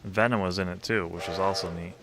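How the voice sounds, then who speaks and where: A man's voice: low voice, fairly even intonation, fairly quick, noisy background. A man hosting a casual gaming stream over a voice call, telling stories animatedly and laughing often. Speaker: streamer and host. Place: voice call with friends.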